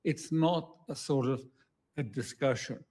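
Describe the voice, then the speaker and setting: Male analyst, unhurried and hesitant, mid-range voice, answering in an interview. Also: mid-range voice, darkish tone. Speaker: interview guest, political analyst. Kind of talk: interview commentary.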